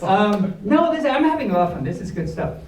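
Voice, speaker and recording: A man's voice: high voice, very animated, fairly quick. A man reading a storybook aloud to a live audience in a playful, theatrical way, with chatty asides. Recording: roomy, distant mic, faint background noise.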